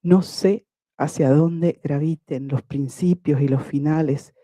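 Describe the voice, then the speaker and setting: A woman poet reading a prepared talk about her book in a measured, lyrical and reflective way. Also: low voice, steady tone, warm tone, no room sound, studio quality.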